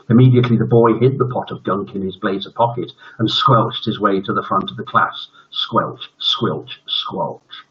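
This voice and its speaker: Very husky older British storyteller. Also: male voice, sits low. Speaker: bedtime story host. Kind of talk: storytelling and casual livestream chat. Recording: dull lo-fi livestream audio.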